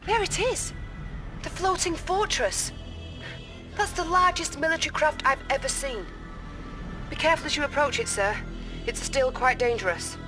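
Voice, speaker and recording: An actress plays a brisk young female intel officer who gives crisp, earnest briefings. Her voice sits a little high. Clear game music runs beneath her. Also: natural voice. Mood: awed.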